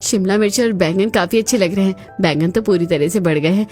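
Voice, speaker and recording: A woman's voice: mid-range voice, brisk, darkish tone, lightly acted. A woman playing a practical, cheerful young housewife in a cartoon family story, with music behind her. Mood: upbeat, explaining. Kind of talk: Hindi cartoon story dialogue.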